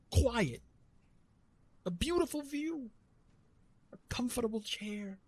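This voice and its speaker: A man voicing a weary, wry retired god of love in an ASMR roleplay, with dramatic, halting delivery and repeated words. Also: a little high, gravelly, husky, voice pushed up, exaggerated voice. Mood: wistful complaint.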